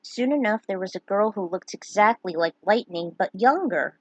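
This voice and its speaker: A woman's voice: mid-range voice, lively intonation, normal speed, dry recording, muffled recording. A woman reading her own fan fiction aloud as narrator, sometimes stumbling over names. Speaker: fan fiction author and reader.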